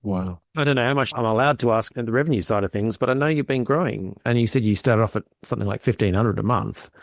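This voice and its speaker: Fast-talking man hosting an interview podcast. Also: medium pitch, no room sound, studio quality. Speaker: podcast host.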